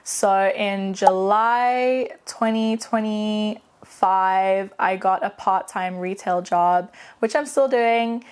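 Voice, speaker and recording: A woman's voice: mid-range voice, takes it slowly, hardly any pauses, clean tone. Chatty woman with a mixed South African accent. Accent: South African with British and American traces. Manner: chatty and candid, with frequent fillers and self-corrections. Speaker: vlogger and aspiring novelist. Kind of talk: casual personal vlog.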